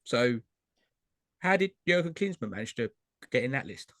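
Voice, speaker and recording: A man's voice: medium pitch, big pitch swings, moderate pace, fairly bright tone, no room sound. Chatty man, dry close sound. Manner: chatty, lists names, lots of fillers. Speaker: podcast co-host. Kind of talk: casual chat about trading cards.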